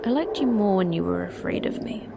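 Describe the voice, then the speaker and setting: An actress playing a terse, sarcastic manager of a secret facility in an audio drama, with a dry, curt delivery. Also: female voice, medium pitch, animated, a little fast, vocal fry, very noisy. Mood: dry, sardonic. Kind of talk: audio fiction acting.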